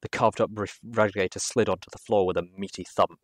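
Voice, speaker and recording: A man reading a first-person adventure story aloud as narrator, in an expressive, dramatic manner. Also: lowish voice, fast talker, no room sound, clean studio sound.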